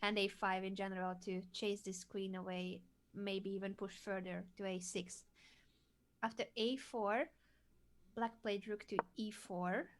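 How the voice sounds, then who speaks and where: Female chess coach, patient, leads with questions, mid-pitch voice. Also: fairly even intonation, measured pace. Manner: patient, asks guiding questions.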